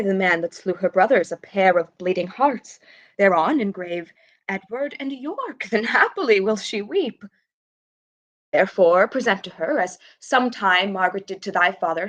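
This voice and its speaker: A woman's voice: mid-range voice, fairly bright tone. A young woman playing a bereaved, scornful queen in a Shakespearean radio play, speaking formal verse with biting sarcasm. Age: young adult. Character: bereaved mother queen, bitter, scornful and grieving. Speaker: student actor.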